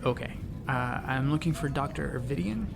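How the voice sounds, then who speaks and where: A man's voice: mid-range voice, animated, normal speed, vocal fry, over music. A man playing an earnest, polite, easily flustered newcomer doctor in a sci-fi comedy audio drama, with an awkward, hesitant manner. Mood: awkward, nervous. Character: earnest, flustered, polite male doctor new to a space station.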